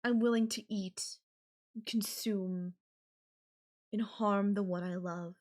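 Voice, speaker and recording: A woman playing an obsessive, possessive, murderous girlfriend in an ASMR audio roleplay, speaking deliberately with drawn-out phrases and pauses. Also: medium pitch, fairly even intonation, darkish tone, close to an everyday voice. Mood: calm menace.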